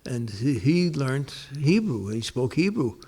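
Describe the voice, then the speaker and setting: An older man talks through old memories in an unhurried way. He keeps circling back with restarts and fillers, and his voice sits in a mid-range pitch. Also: very animated, dark tone, breathy edge. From New York, United States. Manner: rambling, many restarts and pauses. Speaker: interviewee. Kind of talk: casual oral-history interview.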